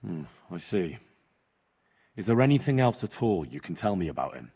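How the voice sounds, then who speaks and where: Game cutscene: an earnest young squire, low husky voice, lively pitch. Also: male voice, normal speed, full character voice. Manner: earnest, dutiful. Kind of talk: video game cutscene acting.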